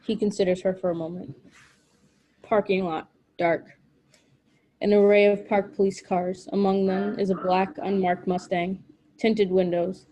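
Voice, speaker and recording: A woman reading scripted stage directions at a table read in an even, matter-of-fact way. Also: medium pitch, measured pace, poor audio.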